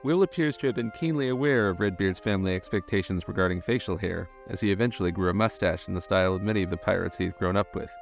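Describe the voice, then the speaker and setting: A man reading scripted documentary-style narration in a measured, articulate storytelling manner. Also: low voice, creaky. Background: background music.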